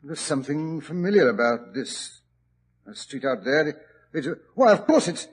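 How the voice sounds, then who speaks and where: Flustered, blustering doctor sidekick, stammers, husky voice, wide swings in pitch. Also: male voice, expressive, moderate pace. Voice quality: blustering, stammering, hearty exclamations. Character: flustered, genial male doctor companion, easily startled. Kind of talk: 1940s radio acting.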